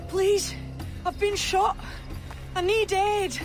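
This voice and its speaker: A woman's voice: very high voice, little pitch movement, takes it slowly, music in the background. An actress playing a brash, tough young pirate disguised as a man in a video game, blunt and defiant. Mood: feigned helplessness. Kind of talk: video game cutscene acting.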